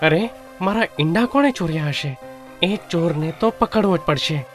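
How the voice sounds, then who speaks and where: An actor voicing an aggrieved crow parent in an animated children's story. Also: medium pitch, moderate pace, soft background music, natural voice. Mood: sad, determined. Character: aggrieved crow parent, indignant at egg thief.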